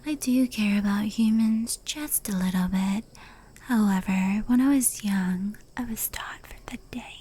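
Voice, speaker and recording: A woman plays a powerful, proud female fox yokai in a whispered ASMR roleplay. Her voice is soft and breathy, with a dark, mellow tone. She speaks slowly and intimately, like a fond confidante. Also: mid-range voice. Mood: serious, confessional. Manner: unhurried, hushed, intimate.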